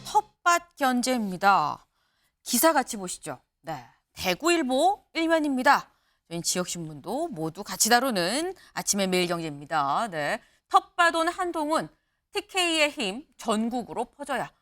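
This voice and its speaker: A woman's voice: a little high, very animated, normal speed, a bit bright. A woman news anchor hosting a morning news talk show, chatty and laughing with her guests. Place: TV studio.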